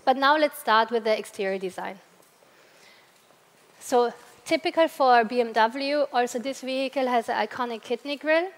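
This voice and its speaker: A woman's voice: on the high side, normal speed. Austrian woman presenting in a reverberant hall. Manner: explanatory, with occasional hesitations and restarts. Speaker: product presenter. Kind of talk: corporate product presentation. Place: large event hall at a press launch. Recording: long reverb of a large hall.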